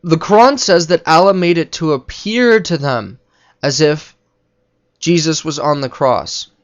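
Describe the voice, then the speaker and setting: A man with a fairly high voice delivers off-the-cuff religious commentary. He lectures and argues his points, recorded with muffled, lo-fi sound. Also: unhurried. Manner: didactic, argumentative. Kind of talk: unscripted spoken commentary on religion.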